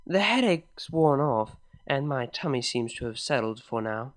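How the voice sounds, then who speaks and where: A man voicing a reserved, cultured young pony mare, talking quietly and firmly in a clipped way. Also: medium pitch, lively intonation, measured pace, no echo, studio quality, lightly acted.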